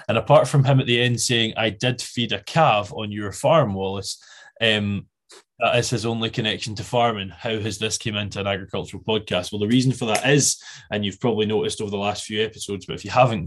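A man in his twenties hosting a podcast, chatting casually and rambling a bit. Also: on the low side. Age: 20s. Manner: rambling, many fillers and restarts. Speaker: podcast host.

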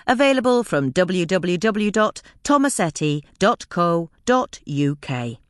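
A woman reading a scripted magazine-style article for a podcast in a measured, carefully enunciated way. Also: on the low side, very animated, clean tone, no room sound, clean studio sound. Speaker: podcast episode reader.

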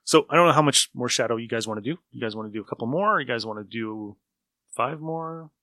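A man hosting a podcast in casual, animated chat with his co-hosts. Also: mid-range voice, quick pace, no room sound. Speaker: podcast host.

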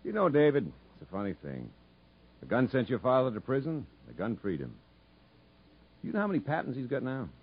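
An actor playing an earnest, fatherly prison captain in a 1950s radio drama, telling a story in a measured, emphatic way. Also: mid-range voice, huge pitch swings, breathy edge, heavily acted. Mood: earnest, reflective. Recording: muffled vintage 1950s radio recording.

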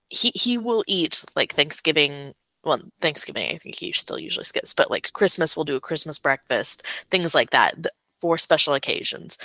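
A woman's voice: low voice, bright, forceful tone, no echo. A young woman co-hosting a podcast in casual chat, chatty and giggling at her own stories. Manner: chatty, giggly, self-amused. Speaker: podcast co-host.

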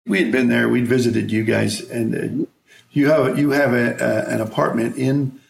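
Podcast host guy, gravelly voice, echoey room, gets animated. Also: medium pitch, normal speed. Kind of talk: casual conversation.

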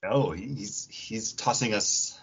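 A man's voice: lowish voice, takes it slowly, slightly rough, poor audio. A man co-hosting a podcast in casual, jokey, digressive chat, slipping into imagined quotes. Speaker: podcast co-host. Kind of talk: casual comic book discussion.

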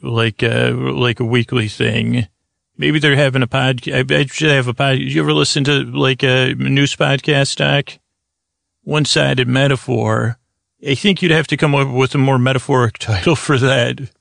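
A man hosting a bedtime comedy podcast, improvising a meandering, halting, absurdist monologue in a creaky voice. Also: medium pitch, a bit bright, no room sound, clean studio sound. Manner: meandering, halting, absurdist one-sided conversation with an imagined doctor. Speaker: podcast host.

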